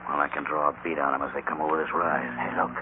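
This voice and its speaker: A man playing a rough, dim American henchman with an unschooled way of talking in a radio western. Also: extremely low pitch, level tone, fast. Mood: wary. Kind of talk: old-time radio western acting. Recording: very noisy, muffled old radio recording.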